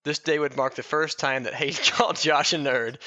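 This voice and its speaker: Male podcast host, forceful bright tone, mock-biography reading with casual jokey asides, slightly dulled recording. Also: on the high side, steady tone, a little fast, no echo, highs cut off. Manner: jokey, often breaking into laughter mid-sentence.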